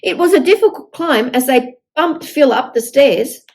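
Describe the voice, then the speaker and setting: An older woman reading a picture book aloud to young children in an expressive storytelling manner. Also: sits high, moderate pace. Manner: expressive, with exaggerated dialogue.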